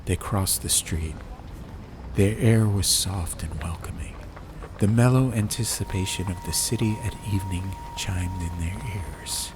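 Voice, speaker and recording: A man's voice: deep voice, measured pace. A man reading a scripted atmospheric storybook tale as an audiobook narrator. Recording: faint noise and ambience behind voice. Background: ambient background sound.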